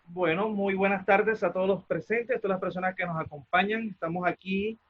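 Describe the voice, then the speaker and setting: A man with a Colombian accent moderating an arts presentation, speaking off the cuff in a halting, formal way as he searches for words. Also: high-pitched, steady tone, muffled recording. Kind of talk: spontaneous formal event introduction.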